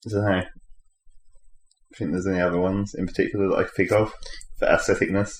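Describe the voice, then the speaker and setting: A man's voice: low voice, flat delivery, a little fast. A man chatting casually as a podcast panelist, blunt and sceptical in his manner. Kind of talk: casual chat. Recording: roomy, faint background noise.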